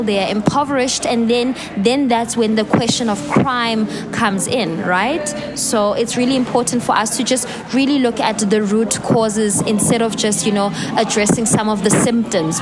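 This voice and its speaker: A woman hosting an interview, reflecting on her guest's points and tagging her remarks with "right?". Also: fairly high pitch. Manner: reflective, leans on "really" and "you know", asks with "right?". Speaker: podcast host.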